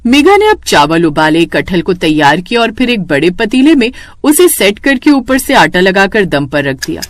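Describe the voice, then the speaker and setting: A woman's voice: mid-range voice, sing-song, moderate pace, no room sound. A woman narrating a scripted moral story.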